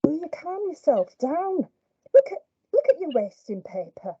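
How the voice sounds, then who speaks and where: A woman's voice: high-pitched, wildly swooping pitch, moderate pace, warm tone, no echo, lo-fi sound, exaggerated voice. A woman voicing a stern female teacher who scolds a student. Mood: scolding.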